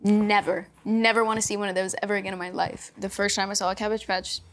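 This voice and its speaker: A young woman chatting casually in a quick question game, telling stories with lots of fillers like "like.". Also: mid-range voice, level tone. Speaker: actress, interview guest. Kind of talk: casual rapid-fire chat.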